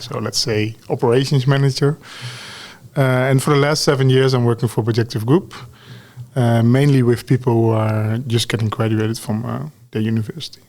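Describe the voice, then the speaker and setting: A Dutch man in his forties with a Dutch accent, a guest on a podcast, talking in a relaxed way and ending sentences with "huh?" and "eh?". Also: medium pitch. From Netherlands. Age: 40s. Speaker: guest, talent lead. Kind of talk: podcast conversation.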